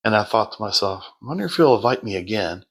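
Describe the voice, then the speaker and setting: A man telling his story as a podcast guest in a loud, projecting voice, unhurried, with many fillers and restarts. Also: fairly low pitch, sing-song, breathy edge. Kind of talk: casual storytelling.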